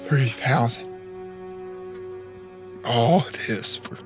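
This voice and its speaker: Halting young western apprentice. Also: male voice, medium pitch, music in the background. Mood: dying, faint. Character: young male bounty hunter's apprentice, earnest and fragile. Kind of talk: western audio drama acting.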